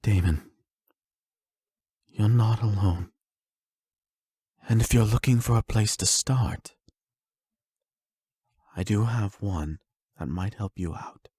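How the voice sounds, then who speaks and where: Audio drama: male actor as calm fatherly older counselor, deep mellow voice, unhurried, soft and reassuring. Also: breathy edge, dry recording, studio quality. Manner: slow, measured, reassuring. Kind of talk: soft-spoken audio roleplay acting.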